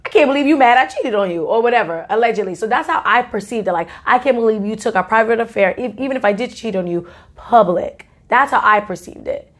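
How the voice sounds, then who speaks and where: A woman's voice: fairly high pitch, animated, quick pace. A woman co-hosting a podcast in casual chat, laid-back with dry quips. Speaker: podcast co-host.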